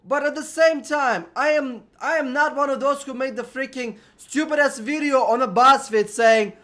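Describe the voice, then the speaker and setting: High-voiced man. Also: moderate pace, a bit bright. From Uzbekistan. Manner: emphatic, ranting, checks in with "okay?". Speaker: vlogger. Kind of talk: opinion monologue to camera. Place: room at home with an air conditioner running.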